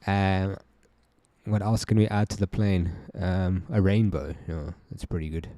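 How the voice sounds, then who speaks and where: A young South African man hosting a casual live stream, joking, laughing a lot and talking in relaxed slang. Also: sits low, unhurried, no room sound. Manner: laid-back, joking, laughs often, slangy. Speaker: livestream trading host.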